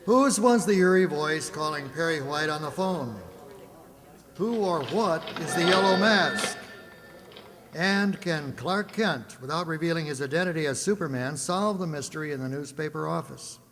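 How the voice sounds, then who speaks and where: A man's voice: a little high, lively intonation, moderate pace, a bit bright. A man announcing an old-time radio serial in a dramatic, declamatory way. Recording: echoey hall, clear background noise.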